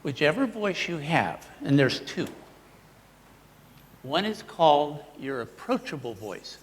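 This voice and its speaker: A man's voice: a little high, takes it slowly, in a large hall. A man presenting a live workshop, teaching the audience and acting out voice examples himself. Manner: animated, demonstrative, models voices for the audience.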